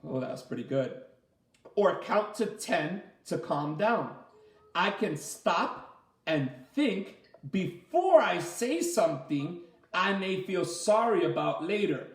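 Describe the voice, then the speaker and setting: A man leading a children's story time as a teacher, reading aloud and asking the listeners simple questions in an animated way. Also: high voice, takes it slowly. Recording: roomy, echoey sound.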